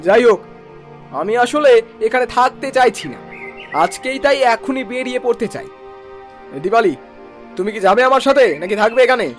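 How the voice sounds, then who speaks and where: A man's voice: very high-pitched, moderate pace, pauses often, faint music behind. A man voicing a haughty, scornful young son-in-law in a cartoon, with a sneering, condescending manner. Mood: sneering displeasure. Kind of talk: Bengali cartoon voice acting.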